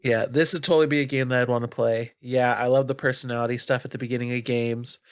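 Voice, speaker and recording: A man with a nasal voice of medium pitch talks as a podcast host. The delivery is casual and conversational, and the sound is close and dry. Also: steady tone, fast talker, clean tone, no echo. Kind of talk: casual video game podcast chat.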